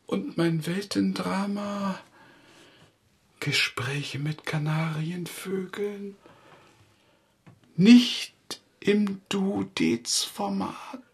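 An actor playing a fussy, rapturous old poet in a German radio play, declaiming theatrically. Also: male voice, sits high, very slow, long pauses, husky, full character voice. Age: older.

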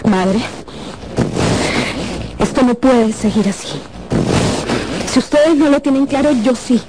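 A woman's voice: a little high, slow, very noisy. A woman playing a cold, resolute young sister who has turned against her brother, in a radio drama.

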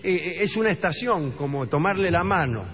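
A man's voice: fairly high pitch, expressive, brisk, a bit bright, slightly rough. A middle-aged man with an Argentine Rioplatense accent, hosting a radio show and telling a comic story in a wry tone, slipping into other voices for the characters. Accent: Rioplatense Spanish. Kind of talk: humorous radio monologue with banter. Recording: dull radio broadcast with long reverb.

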